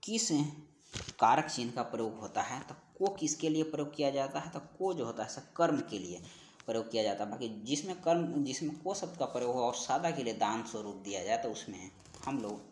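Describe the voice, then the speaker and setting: Man teaching, repeating key phrases slowly. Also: medium pitch, expressive, a little mellow, slight background noise. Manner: didactic, repeats each question and answer, slowing down on key phrases. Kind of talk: Hindi grammar exam-prep lesson, reading out questions and answers.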